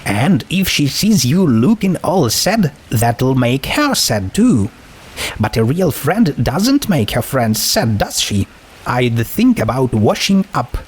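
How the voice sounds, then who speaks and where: A man's voice: medium pitch, sing-song, slow, slightly rough, voice pushed up, exaggerated voice, poor audio. A man voicing a smug, cheerfully cruel young pony princess in a story, condescending and sweetly menacing. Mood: falsely cheerful.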